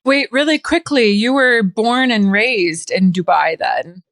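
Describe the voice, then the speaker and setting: A woman's voice: mid-range voice, lively intonation, a little slow, fairly bright tone, no room sound. A woman in her forties from the United States, hosting a podcast interview in an upbeat, friendly way. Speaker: podcast host.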